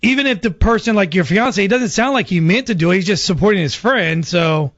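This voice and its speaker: A man's voice: high-pitched, animated, fairly quick, fairly bright tone, dull audio. An American man hosting a radio show, talking casually to callers in a phone-in prank segment. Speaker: radio host.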